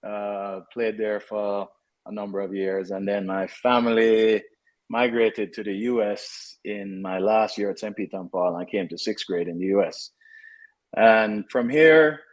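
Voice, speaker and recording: Low-voiced Jamaican man, relaxed coach. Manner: relaxed, conversational, frequent fillers and restarts. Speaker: soccer head coach. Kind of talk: casual interview.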